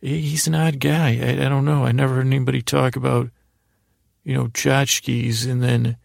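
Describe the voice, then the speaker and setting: A middle-aged man with a gravelly, creaky voice hosting a bedtime podcast, meandering and hesitant in a goofy way, often trailing off and restarting. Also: mid-range voice, flat delivery, normal speed, no room sound, studio quality. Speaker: podcast host. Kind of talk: rambling bedtime sleep podcast monologue.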